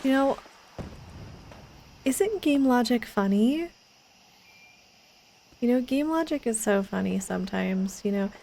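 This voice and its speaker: Brief: young woman streamer, slow gravelly chat, light hum behind. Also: fairly high pitch, animated, dark tone. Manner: chatty, giggly, rambling. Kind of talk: casual gameplay commentary.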